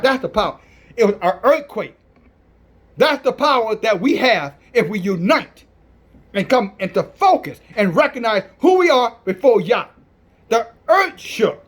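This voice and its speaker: A man preaching in a strong, loud voice, reading scripture and commenting on it in a declamatory, defiant manner. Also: very high voice. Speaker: Hebrew Israelite preacher.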